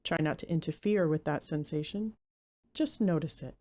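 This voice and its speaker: Lady doing a guided body scan, really mellow slightly low voice, slow gentle phrases w long gaps. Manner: gentle, unhurried instruction with long pauses. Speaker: guided meditation leader.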